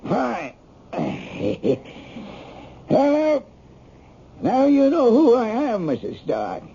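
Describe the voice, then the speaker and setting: An actor playing a genial, hungry shooting range owner with halting, chuckling, streetwise talk in a radio drama. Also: male voice, extremely high pitch, huge pitch swings, creaky, mellow, a little hiss or hum, full character voice. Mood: genial, chummy. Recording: dull lo-fi old radio recording.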